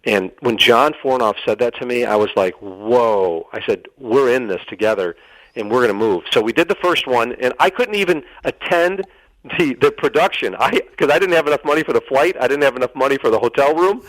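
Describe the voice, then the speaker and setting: A man's voice: medium pitch, a little fast, dry recording, poor audio. A middle-aged man telling a personal story as a radio interview guest, earnest and dramatic, acting out the dialogue and repeating phrases for emphasis.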